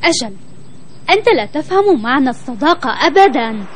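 Little mouthy cartoon critter, high voice, bit of noise. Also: female voice, sing-song, brisk. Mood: scolding. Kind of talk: children's cartoon dubbing in formal Arabic.

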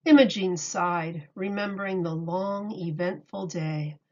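A woman reading a picture book aloud to children like a teacher, deliberate and expressive. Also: on the low side. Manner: deliberate, expressive, explains words for young children. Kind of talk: read-aloud storybook narration. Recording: dull lo-fi recording.

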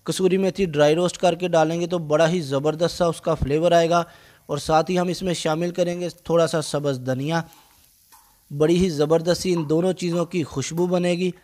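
A man's voice: on the high side, fairly even intonation, normal speed, darkish tone. A man with a Pakistani accent, narrating a recipe as the host of a cooking channel.

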